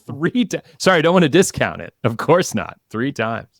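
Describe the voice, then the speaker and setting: A man's voice: mid-range voice, very animated, normal speed, vocal fry, dry recording, clean studio sound. A man hosting a podcast and interviewing guests in a conversational, presenterly way.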